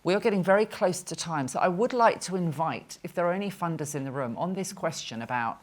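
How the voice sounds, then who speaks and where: A woman moderating a panel discussion, brisk and engaged as she invites views from the room. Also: fairly low pitch, expressive.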